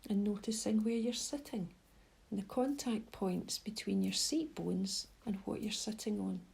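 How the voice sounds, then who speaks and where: Soft, husky woman guiding a meditation. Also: mid-range voice. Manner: gentle, unhurried instruction with pauses. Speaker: mindfulness guide. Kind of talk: guided meditation.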